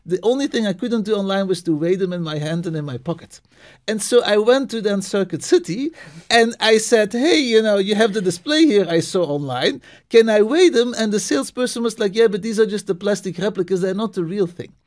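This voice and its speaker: A middle-aged man from Belgium chats as a guest in an interview, telling stories with lots of energy. His voice sits high for a man. He stumbles over repeated words as he gets going. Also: a little fast. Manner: animated storyteller, many restarts and repeated words. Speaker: marketing professor, guest. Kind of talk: casual interview talk.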